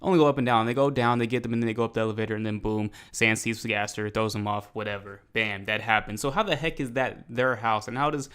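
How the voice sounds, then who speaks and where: A young American man giving casual reaction commentary, animated and emphatic, rambling in slang. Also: on the low side, fast, few pauses, smooth. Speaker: reaction video host. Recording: faint music behind the voice.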